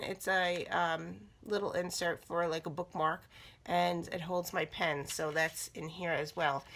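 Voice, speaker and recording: A middle-aged woman talks casually to camera about her reading and journals, her voice slightly raspy from a cold. Her tone is bright and forward. She rambles, with frequent ums and restarts. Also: medium pitch. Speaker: book vlogger. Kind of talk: casual chat showing items to camera.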